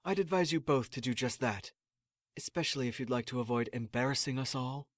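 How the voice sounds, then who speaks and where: A man's voice: mid-range voice, a little fast, no room sound, studio quality, natural voice. A man playing an exasperated, officious assistant in a sci-fi comedy audio drama, formal, sarcastic and condescending. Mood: stern, lecturing. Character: exasperated, officious male assistant prepping space recruits.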